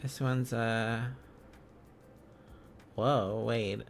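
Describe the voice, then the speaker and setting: A man hosts a casual live-stream lesson, taking his time and thinking out loud. His delivery is slow, hesitant and playful, wandering as he goes. Music plays faintly behind his medium-pitched voice. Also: fairly even intonation.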